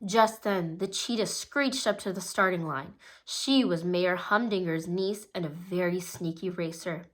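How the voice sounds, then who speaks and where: A woman reading a children's storybook aloud in a gentle, steady storytelling manner, with small slips now and then. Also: lowish voice, a little slow, hardly any pauses. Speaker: storybook reader. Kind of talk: children's read-aloud narration.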